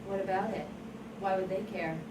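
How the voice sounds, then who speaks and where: A woman's voice: mid-range voice, fairly even intonation, normal speed, recorded from a distance, close to an everyday voice. A woman at an amateur table read playing a defensive, combative seventeen-year-old suspect. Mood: indignant. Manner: combative, indignant. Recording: very noisy, echoey room from afar.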